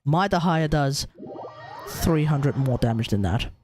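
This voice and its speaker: Lively, high-voiced Australian male game streamer. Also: unhurried, a little hiss or hum. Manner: rambling, talking to himself. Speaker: game livestreamer. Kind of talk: casual gameplay commentary.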